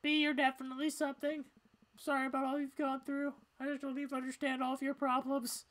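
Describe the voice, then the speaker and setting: A woman reading video game dialogue aloud in an expressive, conversational style. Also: sits high, fast. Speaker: game streamer voicing characters.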